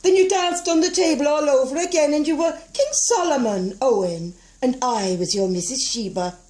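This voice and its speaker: A woman's voice: high-pitched, moderate pace, a little mellow, lightly acted. A woman reading a play aloud as an amateur actor, teasing and reciting.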